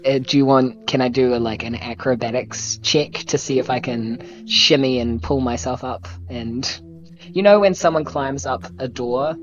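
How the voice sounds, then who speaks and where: A woman's voice: extremely low pitch, moderate pace. Playful New Zealand woman, dull recording. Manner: playful, joking. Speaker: player in tabletop game podcast. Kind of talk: casual game chat. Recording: dull sound with clear music behind. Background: background music.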